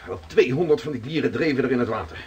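An actor playing a hearty seafarer home from an Arctic voyage in a radio drama. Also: male voice, expressive, fast talker, a little mellow, dull audio, natural voice. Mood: earnest storytelling. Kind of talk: Dutch radio drama acting. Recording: noisy radio play recording with low rumble.

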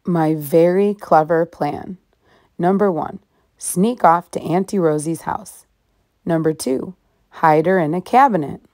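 Female children's storybook reader, slightly low pitch, very unhurried, emphatic on key words. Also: a little mellow. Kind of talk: children's read-aloud narration.

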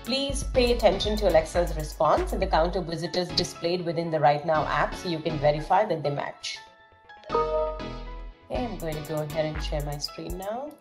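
A woman's voice: fairly low pitch, moderate pace, music in the background. A woman presenting a product demo in scripted narration over a video call.

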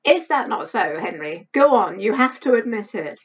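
A woman's voice: mid-range voice, sing-song, normal speed, husky. A woman voicing a good-natured mature actress and wife, fondly chiding in period speech for an audiobook. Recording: dull lo-fi webcam sound.

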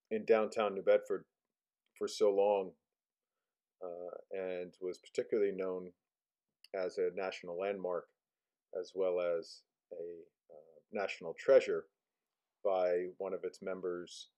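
A man in his thirties narrating a restoration project for his company in a steady, explanatory way, with many filler sounds. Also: sits low.

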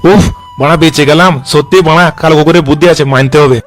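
Casting: male actor as corrupt police officer, comedy cartoon. High male pitch, rapid-fire, swaggering, foul-mouthed, glib. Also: fairly even intonation, soft background music, natural voice. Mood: nervous. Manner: swaggering, coarse, glibly reassuring. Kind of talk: comic cartoon dub dialogue.

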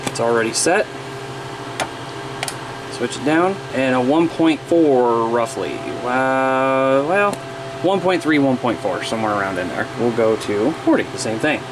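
A man's voice: mid-range voice, lively intonation, unhurried. A man in his thirties talking casually and informally, explaining things in a rambling way as a hobbyist vlogger. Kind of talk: casual vlog chat. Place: outdoors in a yard, later a small room indoors. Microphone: camera at arm's length. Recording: noisy camera audio with background hum.

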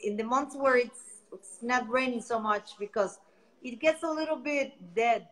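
A woman's voice: fairly high pitch, normal speed, rough recording. Brief: Costa Rican woman, 30s, Spanish-accented English, friendly guest, loose and rambling on a video call. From Costa Rica. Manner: friendly, rambling, repeats words while searching for them. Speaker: guest, founder of a beach cleanup project. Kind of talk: casual interview answers.

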